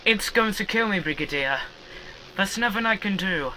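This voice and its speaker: A man's voice: sits high, expressive, normal speed, sharp tone, a little hiss or hum, full character voice, low-quality recording. A man playing a terrified, panicked soldier facing death in an audio drama. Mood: terrified, resigned.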